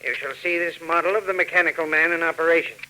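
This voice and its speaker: An actor playing a shrewd, mercenary villain in a 1940s radio drama, speaking in a precise, theatrical, imperious way. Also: male voice, on the high side, fast, pushed and bright. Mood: proud, showy. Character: shrewd, mercenary male villain selling a stolen robot. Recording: noisy old 1940s radio recording.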